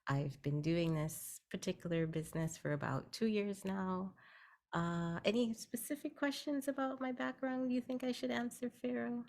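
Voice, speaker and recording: A woman's voice: medium pitch, fairly even intonation, moderate pace, a little mellow. A woman from Guyana, a health educator, explaining her business in a plain-spoken way as a guest on a video call. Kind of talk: virtual networking meeting talk.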